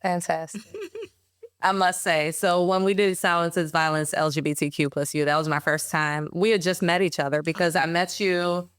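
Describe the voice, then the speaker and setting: A woman hosting a podcast interview, asking questions and offering measured, heartfelt praise to her guest. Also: on the low side, flat delivery, smooth, no echo, studio quality.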